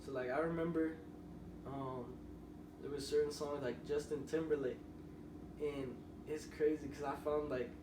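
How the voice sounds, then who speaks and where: A man's voice: on the high side, steady tone, moderate pace. A young man, a musician guest on a podcast, telling loose, rambling stories in casual conversation. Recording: roomy, distant mic with noise.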